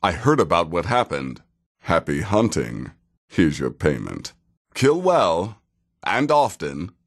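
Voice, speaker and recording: A cynical male assassin from a fantasy video game, speaks in a very deep voice. He takes his time, deliberate and dry. His deadpan delivery still swings widely in pitch, giving the sardonic wit a lively contour. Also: heavily acted. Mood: dry, businesslike. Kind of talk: video game character dialogue.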